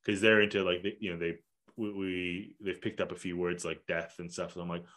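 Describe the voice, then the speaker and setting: A man's voice: deep voice, little pitch movement, a little fast, a bit bright. A man in his thirties, raised in Malaysia, talking in a loose, rambling podcast chat full of fillers and restarts. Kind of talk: casual conversation.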